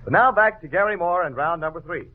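A man's voice: on the high side, expressive, fast talker. A man announcing a radio quiz show in formal, scripted 1940s patter. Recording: lo-fi old radio broadcast, phone-like sound.

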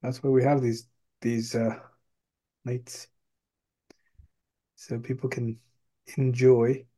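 A man's voice: mid-range voice, level tone, takes it slowly, mellow. A man hosting a casual chat in an agreeable, folksy way.

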